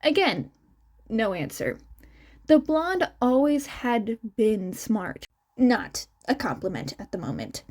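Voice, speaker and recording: A woman reading fiction aloud as an expressive story narrator. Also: fairly high pitch, slow. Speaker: amateur audiobook reader.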